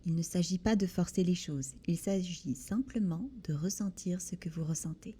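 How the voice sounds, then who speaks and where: A woman leads a guided body scan meditation in a soothing, gentle manner, with deliberate pauses between short phrases. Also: on the low side. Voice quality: soft, breathy. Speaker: guided relaxation instructor.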